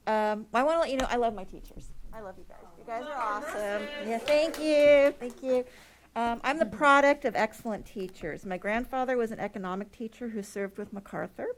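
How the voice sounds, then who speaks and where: A woman's voice: on the high side, a little fast, crowd noise. A middle-aged woman, a school nurse, giving an earnest, emotional public comment at a podium microphone.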